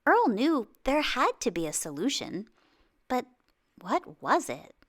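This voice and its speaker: A woman reads a children's storybook aloud with lots of expression. Her pitch swings widely and she takes her time, pausing for drama and leaning into exclamations. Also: big pitch swings, a bit bright. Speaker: picture book read-aloud reader.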